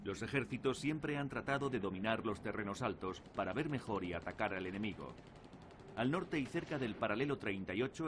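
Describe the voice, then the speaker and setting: A man reading scripted narration for a documentary. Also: on the low side. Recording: clearly noisy documentary soundtrack. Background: clear background noise.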